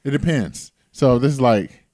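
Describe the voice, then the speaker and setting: A man co-hosting a podcast in casual chat, relaxed and slangy, teasing his co-host. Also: medium pitch, unhurried, no echo.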